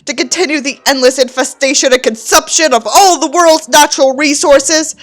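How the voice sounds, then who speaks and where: A woman's voice: high-pitched, a bit bright. A woman voicing a bitter, self-important nihilistic teenage boy in a theatrical, contemptuous dramatic reading of his journal, over music. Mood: bleak, pompous.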